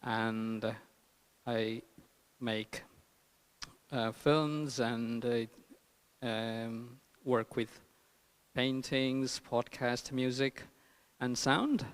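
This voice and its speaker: A man from eastern Nepal with a Nepali accent gives an informal conference talk as an artist, haltingly searching for words. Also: medium pitch, steady tone, clear, resonant tone. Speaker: artist and filmmaker presenting his work. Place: large hall at a public talk. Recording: reverberant hall.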